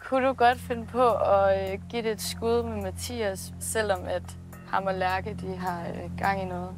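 A woman's voice: medium pitch, steady tone. Chatty young woman over music. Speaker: reality show contestant. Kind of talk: casual conversation.

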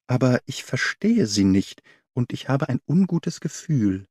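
An earnest young governess read by a man gives a measured, articulate account in an audiobook story. The voice is mellow and soft, in a very dry recording. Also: mid-range voice, sing-song, no echo. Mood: earnest, uneasy. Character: earnest young woman, a governess recounting her worries. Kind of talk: scripted audiobook character reading.

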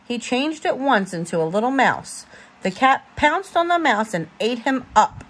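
Woman, parent at storytime, animated intonation, a touch husky, homely and informal read-aloud. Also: a little high, measured pace. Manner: expressive, informal, stumbles over words. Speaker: parent reading a bedtime story.

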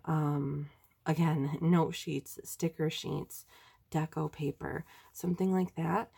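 A woman's voice: deep voice, creaky, mellow, breathy edge. A young woman with a stuffy, nasal voice from a sinus infection, chatting casually through a planner tutorial at her desk. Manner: chatty, enthusiastic, many ums. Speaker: planner video creator. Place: indoors at a desk.

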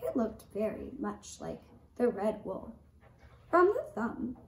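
Female storyteller for a children's puppet show, high voice, very measured with dramatic pauses, roomy sound. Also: wildly swooping pitch, a little mellow. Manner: slow, deliberate delivery with dramatic pauses. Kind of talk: children's storytelling, scripted narration. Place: indoor room with draped puppet stage. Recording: roomy echo, faint background noise.

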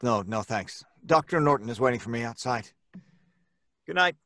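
An actor playing a hesitant, protective husband in an old-time radio drama. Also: male voice, mid-range voice, expressive, moderate pace, heavy background noise, close to an everyday voice. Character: hesitant, protective husband soothing his wife.

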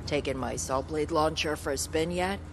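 A woman's voice: sits low, moderate pace, very noisy. An actress playing a blunt, spiritual, resolute woman survivor in a video game cutscene, with a matter-of-fact delivery. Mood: frank, wry.

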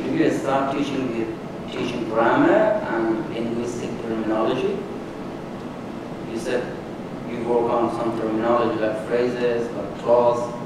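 A man from Iran, asking a lecturer questions from the audience, often rephrasing as he goes. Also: medium pitch. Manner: searching, rephrasing his questions. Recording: echoey hall from far off, background noise.

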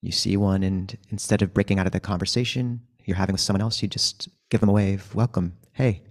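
Male meditation teacher, lowish voice, very even intonation, gentle and unhurried guidance with space between phrases. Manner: unhurried, gentle instruction with long pauses. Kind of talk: guided meditation instruction.

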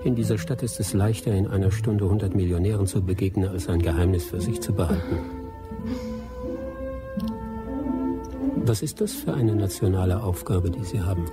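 Man playing a low-voiced, wry expatriate cynic. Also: fast, few pauses, close to an everyday voice. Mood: probing. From Britain (the figure). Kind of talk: German radio drama acting. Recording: loud music behind the voice.

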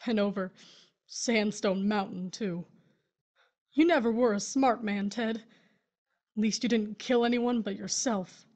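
A woman's voice: medium pitch, fairly even intonation, unhurried, pauses often, a little mellow, lightly acted. A woman playing a grieving, weary widow in a horror audio drama, with a hesitant, distraught delivery. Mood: bitter, resigned.

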